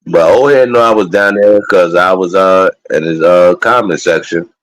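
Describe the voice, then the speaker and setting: A man talks casually and profanely on a live stream. His voice sits very low. The sound is dry and close. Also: moderate pace, no room sound, rough recording. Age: 40s. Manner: loose, profane street talk. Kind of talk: casual chat.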